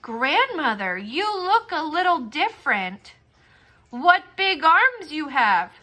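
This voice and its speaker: A woman's voice: sits high, very animated, takes it slowly, pushed and bright, voice pushed up, full character voice. A woman voicing an innocent, trusting little girl in a storybook tale, with a sweet singsong manner. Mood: puzzled wonder.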